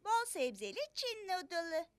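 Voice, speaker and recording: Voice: very high-pitched, sing-song, unhurried, fairly bright tone, no echo, exaggerated voice. A performer voicing a confident, helpful little girl in a children's cartoon dub, explaining things in a matter-of-fact childlike way. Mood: friendly, explaining.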